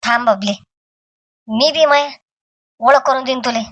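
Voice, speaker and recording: A woman voicing boastful, excitable villagers in a comedy cartoon, emphatic, with a rural Vidarbha Marathi accent. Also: fairly high pitch, a little slow, no echo. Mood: smug, taunting. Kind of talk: comic cartoon voice acting in village dialect.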